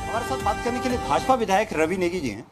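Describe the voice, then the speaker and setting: A man's voice: high-pitched, animated, fast talker, music in the background. A man working as a news reporter, introducing and questioning an interviewee.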